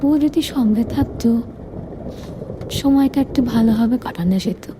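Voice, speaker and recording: A woman narrating a scripted romantic story in the first person, deliberately, in short phrases. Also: on the high side, noisy background.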